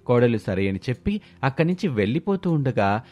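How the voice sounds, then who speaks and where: A man's voice: mid-range voice, very animated, fairly quick, darkish tone. A man narrating a scripted story as its narrator.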